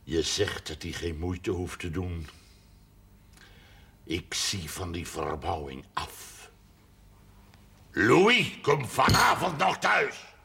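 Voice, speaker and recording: An actor playing a gruff, stubborn middle-aged farmer with a gravelly voice and a curt manner in a period television drama. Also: male voice, low voice, big pitch swings, takes it slowly, pauses often, sharp tone, heavily acted. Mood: curt, angry. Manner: curt, blunt. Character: gruff, stubborn farmer and father of seven sons. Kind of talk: 1970s Dutch television period drama acting.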